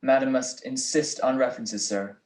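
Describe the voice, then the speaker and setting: Man acting a creepy, halting little manservant. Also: mid-range voice, steady tone, darkish tone, natural voice. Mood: servile, guarded. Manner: halting, oddly formal. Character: creepy, servile little manservant, stiffly formal. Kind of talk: 1940s detective radio play acting.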